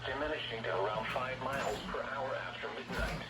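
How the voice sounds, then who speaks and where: A man's voice: mid-range voice, brisk. A man reading a scripted weather forecast as an announcer, heard through a radio speaker. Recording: noisy radio speaker in echoey room.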